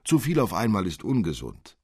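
A secretive, wry clockmaker godfather in a storybook, played by a male reader, speaks in measured phrases. The voice is low and slightly husky. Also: huge pitch swings, darkish tone, dry recording, studio quality, exaggerated voice. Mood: wry storytelling.

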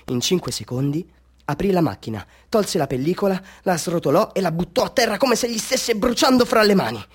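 An actor playing an excitable, self-doubting amateur photographer telling his story in a theatrical radio monologue, moving between confiding and fervent delivery. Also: high-pitched, a little fast, slightly rough. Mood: excited.

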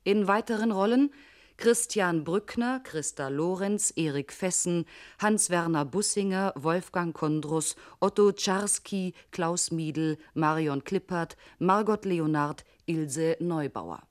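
Slow, low-pitched female radio announcer. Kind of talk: scripted credits announcement.

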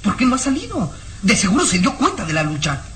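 A woman's voice: mid-range voice, huge pitch swings, quick pace, a bit bright, a little hiss or hum. An actress playing a worried woman companion in a vintage radio drama, asking urgent, concerned questions.

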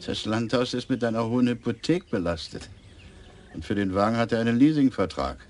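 An actor playing a dogged, officious tax official in a radio crime play. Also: male voice, mid-range voice, a little fast, a little mellow, close to an everyday voice. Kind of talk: radio drama acting.

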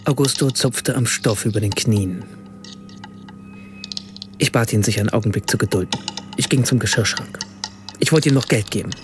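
A man's voice: on the low side, moderate pace, long pauses, heavy background noise, lightly acted. An actor playing a troubled, hesitant writer who narrates his encounter in a reflective, self-questioning way in a radio play. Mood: uneasy, reflective. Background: music.

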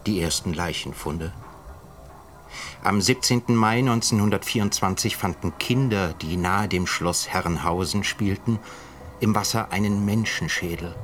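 An actor playing a grave, erudite chronicler of a 1920s murder case, giving measured literary narration in a radio drama. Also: male voice, low voice, a bit bright.

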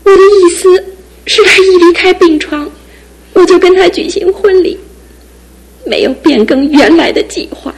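A woman's voice: extremely high pitch, a little slow, poor audio. An actress playing a young woman facing her fiancé's lasting injury, earnest and tearful, in a radio drama. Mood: resolved. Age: young adult.

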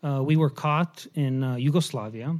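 A middle-aged man, born in Romania, giving a personal testimony in church, halting and self-deprecating with many fillers. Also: medium pitch, a little mellow.

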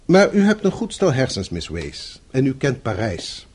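An actor playing a courteous, persuasive broadcast journalist in a Dutch radio drama, with a polite, coaxing way of talking. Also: male voice, mid-range voice, wildly swooping pitch, moderate pace, darkish tone, husky, heavily acted.

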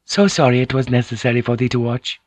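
A man's voice: medium pitch, fast, dry recording, natural voice. A man voicing a serene, tender, fatalistic noblewoman with formal phrasing, in an audiobook. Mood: tender, regretful.

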